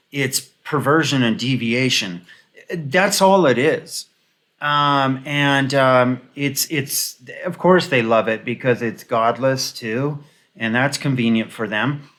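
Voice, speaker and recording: A man hosting an off-the-cuff political commentary video, giving opinions in a halting, rambling, casual way. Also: mid-range voice, a bit bright.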